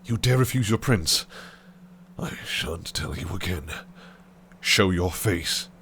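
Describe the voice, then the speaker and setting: An actor playing a possessive, scheming fae prince who speaks in silky, archaic phrases that can turn menacing. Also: male voice, low-pitched, lively intonation, slow, a bit bright, a little hiss or hum, exaggerated voice. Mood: angry. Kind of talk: audio roleplay acting.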